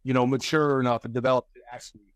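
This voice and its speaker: A man's voice: medium pitch, clear, resonant tone, dry recording. A man in his forties, a guest author and speaker, talking candidly in an interview with frequent restarts. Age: 40s. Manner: halting, restarts often, animated when telling stories.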